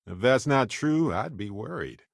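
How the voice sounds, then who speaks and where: An actor playing a wry, good-humored space explorer companion in a video game, talking in an expressive, conversational way. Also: male voice, mid-range voice, a little slow, creaky, no echo, clean studio sound, exaggerated voice. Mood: sincere. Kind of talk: video game character voice lines.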